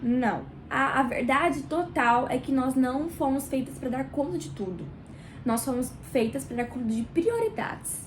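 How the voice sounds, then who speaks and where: Brief: high-voiced Brazilian woman, book club host, nasal from a cold, chatty and direct, small kitchen with a faint hum behind. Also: animated, poor audio. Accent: Brazilian Portuguese. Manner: warm, chatty, addresses viewer directly with pauses. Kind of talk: casual devotional reading vlog.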